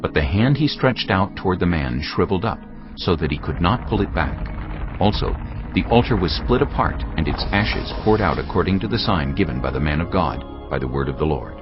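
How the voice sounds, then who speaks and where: A man's voice: low-pitched, lively intonation, brisk. A man narrating a dramatised audio Bible as a solemn storyteller, reading from a script. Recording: muffled audio under prominent music.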